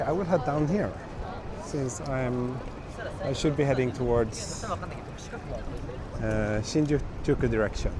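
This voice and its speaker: A man with a hoarse, slightly broken voice talks in a relaxed, chatty way as he hosts a live walk. He is recorded outdoors on a small clip-on mic, with city traffic clearly audible behind him. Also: mid-range voice. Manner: relaxed, chatty, reads out viewer comments. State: hoarse from a cold. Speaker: livestream host. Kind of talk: live walking stream chat. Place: busy city streets outdoors at night. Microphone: small wireless clip-on mic. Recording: outdoor street noise. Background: city traffic and street noise.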